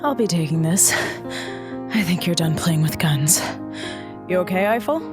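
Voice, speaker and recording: A woman's voice: fairly low pitch, lively intonation, moderate pace, natural voice. An actress playing a wry, vengeful female captain in an audio drama. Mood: wry, taunting. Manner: sardonic. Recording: music behind the voice.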